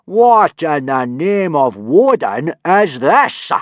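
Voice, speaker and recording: A man voicing an enraged, bellowing Viking pirate instructor in an audiobook, booming and scornful. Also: very animated, takes it slowly, dry recording. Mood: outraged.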